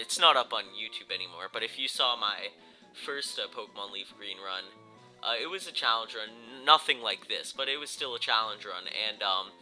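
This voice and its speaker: Young man, stuffy nose, very bright voice, rambling Let's Play commentary. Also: medium pitch. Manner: rambling, self-correcting, lots of ums. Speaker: gaming YouTuber. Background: game music.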